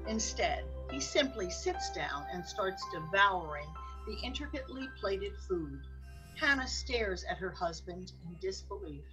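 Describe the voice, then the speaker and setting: Lady narrating a radio play, normal pitch, measured, bit of music in the background. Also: poor audio. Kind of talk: scripted radio drama narration.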